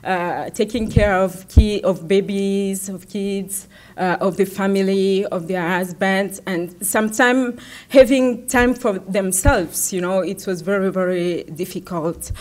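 A young woman from the Democratic Republic of Congo giving a talk at a podium in a conference room, pausing often with fillers and repeating words. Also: mid-range voice, darkish tone. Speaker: activist speaker. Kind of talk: presentation at a podium. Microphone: podium microphone.

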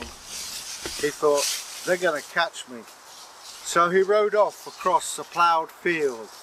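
A man's voice: fairly high pitch, sharp tone, a little hiss or hum, rough recording. Older man telling folk tales outdoors. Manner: chatty, dry, good-humoured. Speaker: channel host and storyteller. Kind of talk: casual storytelling and banter to camera. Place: outdoor garden by a pond. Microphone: camera mic at a few metres.

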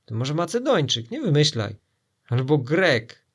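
A man's voice: a little high, big pitch swings, measured pace, voice pushed up. A man voicing a suspicious, argumentative sailor in a children's adventure story. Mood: bickering. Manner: loud, argumentative. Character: suspicious, bickering male sailors guessing at a spy.

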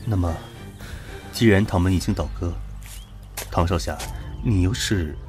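An actor playing a stern, loyal retainer of a prince in an audio drama, with a husky voice. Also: male voice, deep voice, measured pace, darkish tone. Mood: wary. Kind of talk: Chinese historical audio drama acting. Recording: background music under the voice.

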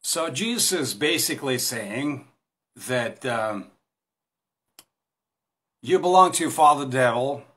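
A man's voice: medium pitch, animated, slow, long pauses, sharp tone. A man in his fifties with a Russian accent, a native Russian speaker, giving an informal Bible talk to a phone camera in a small room, hesitant with many fillers and restarts. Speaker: Bible teacher. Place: small indoor room against a plain wall. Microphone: phone camera at arm's length.

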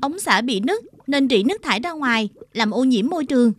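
A woman voicing a caring, responsible older-sister girl in a children's cartoon, gently instructive. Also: sits high, animated, fairly quick. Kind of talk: animated children's series dubbing.

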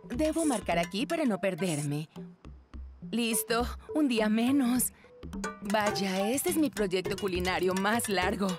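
A woman voicing a composed, dryly skeptical mother and cook in an animated cartoon. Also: medium pitch, slow pace, over music. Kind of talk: animated cartoon dub acting.

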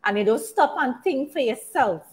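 A woman's voice: sits high, animated, normal speed. A young adult woman talking emphatically in a casual faith discussion on a video call. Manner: emphatic, many short affirmations. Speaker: discussion co-host. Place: indoors, virtual backdrop.

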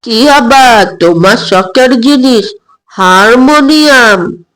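A woman voicing an elderly, affectionate grandmother-in-law in an audio story, speaking in a measured, earnest way. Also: a little high, lively intonation, a bit bright, rough recording. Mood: pleased.

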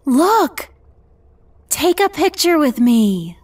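Excitable young female explorer played by a woman: high, very animated, playful and coaxing, sci-fi audio drama. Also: drawn-out words, heavily acted. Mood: playful, pleading.

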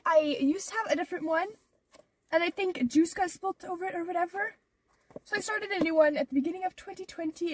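A woman's voice: very high-pitched, dry recording, recorded from a distance, low-quality recording. A teenage girl chatting casually in her car, rambling with lots of fillers, her nose stuffed up from allergies. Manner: rambling, many "like" and "or whatever" fillers. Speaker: vlogger. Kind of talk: casual vlog chat.

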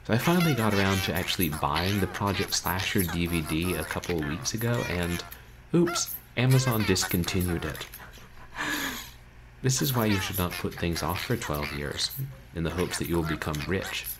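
A man's voice: low-pitched, lively intonation, normal speed. A man in his thirties chatting casually to livestream viewers as host, wry and self-deprecating, with wordy phrasing. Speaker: livestream host, video game streamer. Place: small bedroom, indoors. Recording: noisy home webcam stream.

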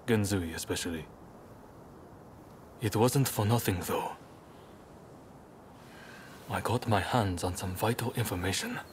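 An actor playing a serious, pragmatic samurai clan leader in a video game cutscene, with a measured, deliberate delivery. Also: male voice, lowish voice, slight background noise, lightly acted. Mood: grave.

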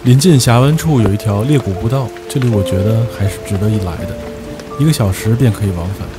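A man narrating a travel vlog in a calm, descriptive, slightly poetic voice-over. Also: fairly low pitch, fast talker, over loud music.

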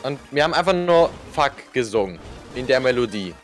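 A young man banters through a let's play with a friend. His pitch moves around a lot, ready to shoot upward under pressure. Game music plays faintly behind him. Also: sing-song, a little fast. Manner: voice climbs high when panicking. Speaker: let's player. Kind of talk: casual co-op gaming banter. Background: video game music.

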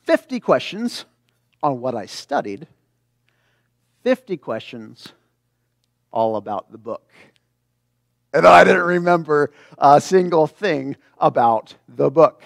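A man preaching a sermon as a pastor, reading scripture and explaining it in an animated way, acting out reactions. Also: on the high side, slow, vocal fry, pauses often, no room sound, studio quality. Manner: animated, acts out imagined reactions.

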